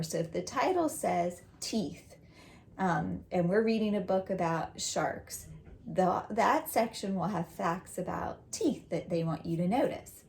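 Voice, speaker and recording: A woman's voice: mid-range voice, creaky, a little mellow, slight background noise. A woman teacher giving a read-aloud lesson to young children, explaining things simply and encouragingly with little check-in questions.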